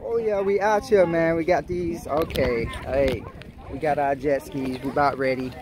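Excited man vlogging on the water. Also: a little high, normal speed. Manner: excited, hyping up friends. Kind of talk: casual vlog commentary. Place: outdoors on open water. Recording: loud wind and water noise.

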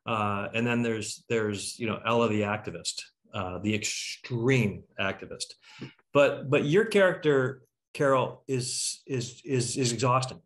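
A middle-aged man hosting a talkback on a video call, haltingly repeating words as he searches for the next. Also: medium pitch. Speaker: talkback host. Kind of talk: discussion and questions on video call. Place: room at home.

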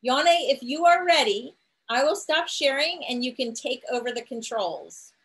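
A woman's voice: high voice, expressive, moderate pace, a bit bright, poor audio. A middle-aged woman introducing a virtual tour over a video call, chatty with occasional fillers. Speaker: host, tour organizer. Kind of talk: webinar introduction. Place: home room.

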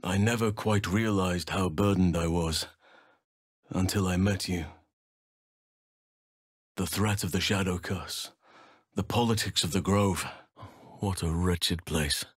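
A man voicing a noble, gentle-mannered archdruid in a fantasy video game, speaking in a measured, earnest, formal way. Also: low voice, steady tone, husky, dry recording, studio quality, full character voice. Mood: grave, measured. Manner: measured, earnest, formal, old-fashioned phrasing.

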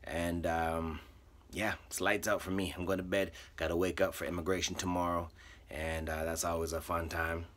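A man's voice: deep voice, moderate pace, a little hiss or hum. A man in his thirties, a comedian, talking casually and jokingly to his phone camera, held at arm's length, aboard a cruise ship. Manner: relaxed, self-mocking, chatty. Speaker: comedian vlogger. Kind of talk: casual vlog. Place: cruise ship cabin and theater.

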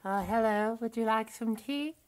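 A genteel lady puppet acted by a woman in a comic parody. Her voice sits fairly high and her manner is fussy. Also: moderate pace, darkish tone, close to an everyday voice. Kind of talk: sock puppet parody acting.

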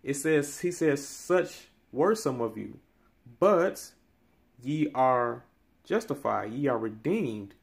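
A man's voice: lively intonation, takes it slowly, vocal fry. A man in his thirties giving earnest Bible-teaching commentary straight to camera, preacherly and building in force on key points, recorded on a phone held close in a small room. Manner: preacherly, rising in pitch and force on key phrases. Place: small room indoors by window blinds.

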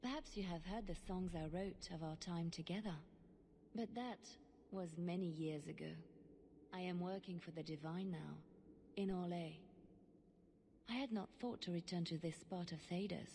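A composed female agent of a fantasy church speaks. Her voice is fairly low, solemn and earnest, as game dialogue with faint noise behind it. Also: measured pace, warm tone, big-room sound. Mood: guarded, wistful. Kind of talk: fantasy video game voice acting.

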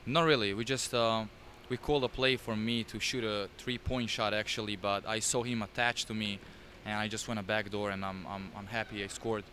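Young player, low bright voice, lots of uhs. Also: male voice, steady tone, a little hiss or hum. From Serbia. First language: Serbian. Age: young adult. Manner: short halting answers with many fillers. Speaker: college basketball player. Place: indoor college gym.

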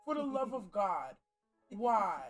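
Animated young man, casual reaction host. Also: sits high, slow, soft background music. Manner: animated, joking. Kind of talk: casual commentary. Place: small furnished game room indoors.

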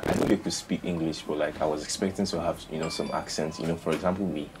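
A man's voice: a bass voice, steady tone, fast, heavy background noise. A young man from Nigeria with a Nigerian accent, chatting casually and self-mockingly as he reacts to a song in a small bedroom studio. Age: young adult. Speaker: reaction video host. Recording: song playing loudly behind. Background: loud music.

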